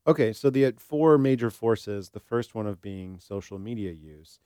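A man's voice: fairly low pitch, animated, moderate pace, dark tone, clear, resonant tone, dry recording, studio quality. A man co-hosting a talk show, giving his opinions in an informal, conversational way. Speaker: podcast co-host. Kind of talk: casual discussion.

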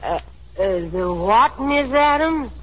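A woman playing a slow-witted, uncouth household maid with a hesitant drawl in a 1930s radio drama, on a muffled old broadcast. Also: high voice, lively intonation, heavily acted. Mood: slow, confused. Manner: drawling, hesitant, unrefined speech.

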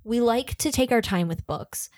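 A woman co-hosting a podcast in casual chat, chatty and quick to laugh. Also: fairly high pitch, darkish tone, no room sound, studio quality. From from the US East Coast. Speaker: podcast co-host.

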